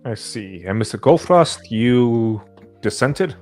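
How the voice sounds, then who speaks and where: A man's voice: medium pitch, expressive, slow pace, darkish tone, faint music behind. Man hosting a casual, jokey livestream. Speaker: podcast host.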